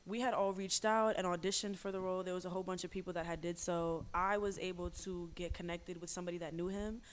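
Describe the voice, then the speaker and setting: A woman from the Bronx who sings in a girl group, answering interview questions softly and quietly. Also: lowish voice, fairly even intonation, fast. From the Bronx, New York. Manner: soft-spoken, quiet. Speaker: singer in a girl group, guest.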